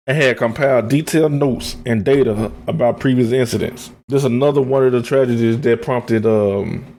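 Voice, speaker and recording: American male podcast host, mid-pitched, informal and opinionated, colloquial phrasing with repetition for emphasis. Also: gravelly, a little mellow. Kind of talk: informal commentary, partly read from notes.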